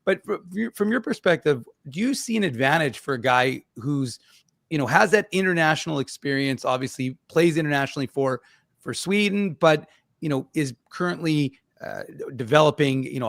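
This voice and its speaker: A man's voice: medium pitch, expressive, a little fast, vocal fry, fairly bright tone, no room sound, clean studio sound. Male hockey radio host asking questions. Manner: conversational, steers the topic with questions. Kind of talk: casual sports talk radio, asking questions.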